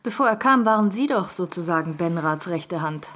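Pushy female reporter in a radio play, firm, confrontational, a bit low pitched. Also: animated, normal speed, a bit bright. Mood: accusing. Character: accusing, insistent female journalist.

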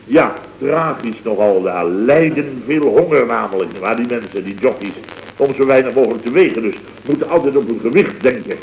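An actor playing an excitable, self-important boss of a small building firm in a vintage radio comedy, blustery and exclamatory. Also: male voice, mid-range voice, moderate pace, natural voice. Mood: proud, eager. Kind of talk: 1970s radio comedy acting. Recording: muffled, noisy, echoey vintage radio recording.

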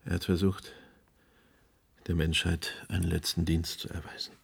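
A man's voice: deep voice, level tone, normal speed, gravelly, breathy edge, full character voice. A male actor playing an earnest radio presenter facing catastrophe in a radio drama. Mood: grave, composed. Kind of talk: radio drama acting, broadcast moderation.

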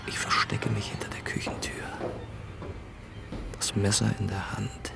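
Radio play interior monologue: troubled prisoner, low and croaky, hushed, brooding. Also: male voice, flat delivery, unhurried, whispered, music in the background. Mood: tense, confused. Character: troubled male prisoner voicing his inner thoughts.

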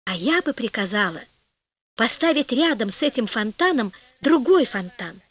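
A woman voicing a gracious fairy-tale queen in a measured, dreamy way. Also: on the high side, sing-song, breathy edge. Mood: dreamy, wishful. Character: gracious fairy-tale queen wishing blessings on her son.

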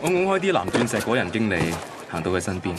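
A man's voice: fairly low pitch, sing-song, fast talker, very noisy. An actor playing a heartbroken young man recounting his first love in a radio drama, wistful and self-deprecating, with a Hong Kong Cantonese accent. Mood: wistful, sad. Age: young adult. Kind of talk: radio drama narration and dialogue.

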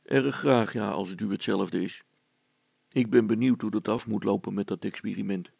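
A man acts out a dry, sceptical working man from a storybook, a father with a mocking, mock-polite streak. His voice is deeply creaky and rough, with very little room sound. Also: moderate pace, darkish tone, no room sound, full character voice.

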